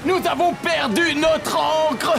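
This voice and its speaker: A blustering, panicky cartoon pirate captain. The voice is gravelly and very high-pitched, bellowing slowly and theatrically over loud music. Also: male voice, steady tone, exaggerated voice. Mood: frantic. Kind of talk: cartoon dub acting.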